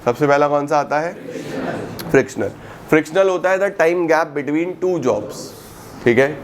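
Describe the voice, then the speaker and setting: A man teaching an economics class. He keeps asking the students questions and answers them himself, mixes Hindi with English terms, stretches out key words slowly and calls his students "beta". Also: medium pitch, slight background noise. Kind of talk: recorded classroom lecture.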